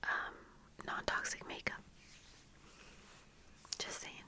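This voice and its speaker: Young female ASMR creator, very bright breathy whisper, casual rambling chat at an unhurried pace, close-miked. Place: small indoor room with bookshelves. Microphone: close fluffy-covered ASMR microphone, earphones worn.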